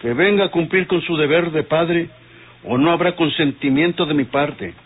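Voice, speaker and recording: A man's voice: fairly high pitch, moderate pace, husky. An actor playing a stern, proud father, emphatic and authoritative, in an old radio drama. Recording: tinny old radio recording.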